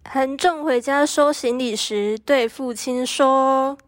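A woman's voice: sits high, slow pace, over music. A teenage female student narrating a scripted radio drama story.

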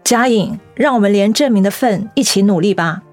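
An actress as a middle-aged woman director in a radio drama, determined and encouraging. Her medium-pitched voice is earnest and heartfelt, with faint music behind it. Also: a little fast, close to an everyday voice. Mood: moved. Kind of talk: Mandarin radio drama acting.

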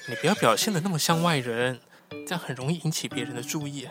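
A man acting as a calm, cautious guide in an audio drama, explaining things in a matter-of-fact way. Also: a little high, fast, music in the background, natural voice. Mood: cautioning. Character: calm, cautious male guide to a hidden tribe's land. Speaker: voice actor.

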